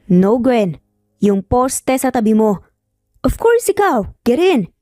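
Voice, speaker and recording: A woman's voice: fairly high pitch, big pitch swings, slow pace, warm tone, no echo, studio quality, soft background music. Woman doing a curt, arrogant male boss. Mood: curt, impatient. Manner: curt, sarcastic, commanding. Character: arrogant, cold, stern billionaire male boss.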